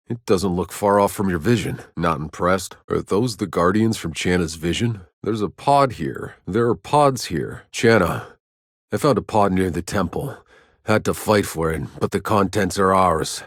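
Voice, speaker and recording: A man playing a gruff, sardonic, battle-worn soldier in a sci-fi video game, with a gritty, husky voice and a terse, dry delivery. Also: sits low, measured pace, dry recording. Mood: subdued, reflective.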